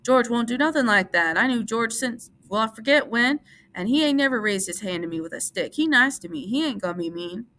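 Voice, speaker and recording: Woman voicing a simple-minded big man, childlike, pleading, high, gravelly. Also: fairly quick, hardly any pauses, a bit bright. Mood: frantic.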